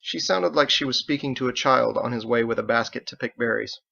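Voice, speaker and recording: A man's voice: mid-range voice, a little fast, vocal fry, bright, forceful tone, dull audio. A man reading his own story aloud as scripted audiobook narration.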